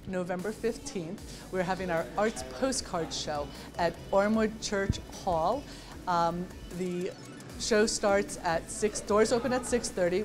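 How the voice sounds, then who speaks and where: A woman in her forties, an art teacher who grew up in New York City with Estonian as her first language, talking in a friendly, chatty way in a classroom. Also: medium pitch. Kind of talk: interview, casual talk to camera.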